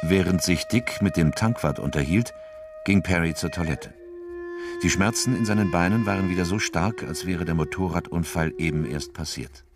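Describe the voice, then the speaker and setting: A man's voice: low voice, moderate pace, music in the background. A man narrating a crime radio drama from a script as its storyteller.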